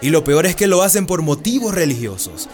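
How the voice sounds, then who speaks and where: A man's voice: on the high side, big pitch swings, a little fast, darkish tone. A man playing a frank, caring family doctor in a radio drama, explaining things earnestly and firmly. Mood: firm, explanatory.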